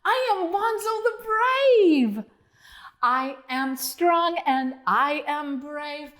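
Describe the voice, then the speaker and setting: A woman voicing a brave, excitable little male frog in a children's storybook, with drawn-out, exclamatory cheering. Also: high voice, full character voice. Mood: proud, triumphant.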